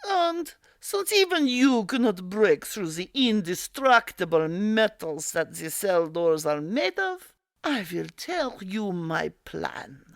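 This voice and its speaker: A woman's voice: medium pitch, very animated, slow pace, creaky, no echo, studio quality, heavily acted. A woman playing an evil, fanatical Nazi supervillain queen in a comedic radio play, with a German accent and a grandiose, menacing manner. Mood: grandiose menace.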